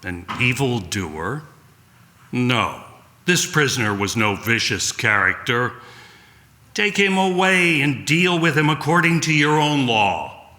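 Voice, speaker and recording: A man plays a Roman governor in a Passion drama, commanding and exasperated. His delivery is deliberate and declamatory, with a bright, forceful edge and roomy echo. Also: mid-range voice, sing-song, exaggerated voice. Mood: cool, questioning. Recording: echoey room sound.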